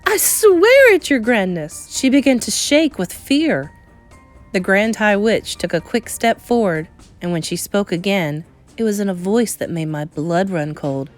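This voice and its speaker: A woman reading a children's story aloud as an expressive narrator. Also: medium pitch, a little slow, soft background music. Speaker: storybook reader.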